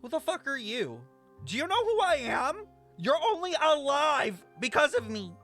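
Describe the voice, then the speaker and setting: A man's voice: extremely high pitch, wildly swooping pitch, moderate pace, pushed and bright. A man giving casual live reactions while streaming a game, blunt, sarcastic and exclamatory, with some swearing. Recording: faint game music behind. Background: video game music.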